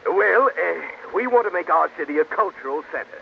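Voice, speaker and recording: Stuffy civic bigwig for radio comedy: higher-set voice, hemming formality, faintly noisy old broadcast. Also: male voice, expressive, fairly quick, vocal fry. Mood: self-important. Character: stuffy civic-minded gentleman, easily charmed by flattery. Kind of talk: old-time radio sitcom acting. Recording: muffled old radio recording, faint noise.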